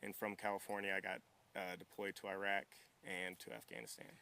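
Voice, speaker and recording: A man's voice: sits low, monotone, brisk, gravelly, bright, forceful tone. A young man giving casual interview answers in a polite, modest, easygoing manner. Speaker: interview guest, military veteran and welder.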